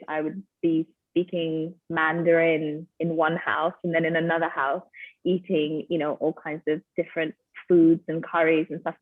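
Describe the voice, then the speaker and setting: A woman's voice: sits low, no echo. A woman from Jamaica, telling her story as a panelist, thoughtful with some ums. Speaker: panelist, coffee company founder. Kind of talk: casual personal story. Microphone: video call. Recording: tinny phone-like call audio.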